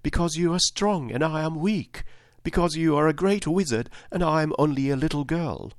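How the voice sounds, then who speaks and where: A man's voice: a little high, moderate pace, dry recording, clean studio sound, pitched above the performer's own voice, natural voice. A man voicing an earnest, pleading little girl far from home in a storybook reading.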